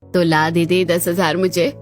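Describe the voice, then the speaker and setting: A woman playing a grasping, complaining older mother-in-law in a cartoon family drama, with a sarcastic, drawn-out delivery. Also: fairly low pitch, level tone, close to an everyday voice. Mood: coaxing, grasping.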